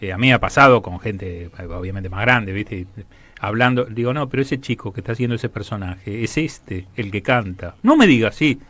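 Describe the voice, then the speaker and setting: A man's voice: fairly low pitch, moderate pace, fairly bright tone, no echo. A man with an Argentine Rioplatense accent hosting a casual late-night radio interview in an informal, conversational way. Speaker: radio host interviewing a guest.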